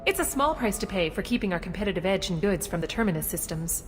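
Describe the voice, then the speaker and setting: A woman voicing a courteous female alien city guide in a video game, speaking with polite, formal and measured official courtesy. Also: medium pitch, lively intonation, faint music behind, close to an everyday voice. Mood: courteous, informative. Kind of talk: scripted video game dialogue. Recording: long reverberant hall, some background noise.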